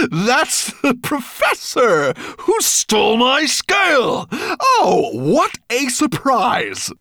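A cruel, arrogant storybook dragon, snarling with bad temper and wicked glee. The voice growls with a gravelly edge and leaps wildly in pitch. It is recorded close and dry. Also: male voice, wildly swooping pitch, very slow, bright, forceful tone, no room sound, full character voice. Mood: gleeful, malicious.

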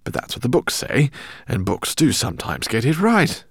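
A man voicing an excitable, eccentric older male professor who speaks in effusive, fussy exclamations. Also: mid-range voice, moderate pace, gravelly, slightly rough, no room sound, studio quality, pitched above the performer's own voice, full character voice. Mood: modest, awed. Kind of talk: audiobook character reading.

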